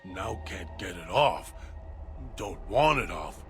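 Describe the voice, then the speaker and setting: A man voicing a deranged, hungry male dog-creature who talks of himself in halting, broken third-person speech. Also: sits low, expressive, full character voice. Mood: eerie. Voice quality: gravelly. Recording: music bed underneath.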